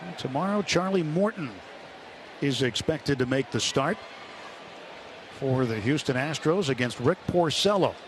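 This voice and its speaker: Higher-voiced American baseball announcer, noisy ballpark behind him. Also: male voice, expressive, unhurried. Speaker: baseball radio play-by-play announcer. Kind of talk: live baseball commentary. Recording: radio broadcast over clear stadium noise. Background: ballpark ambience and crowd noise.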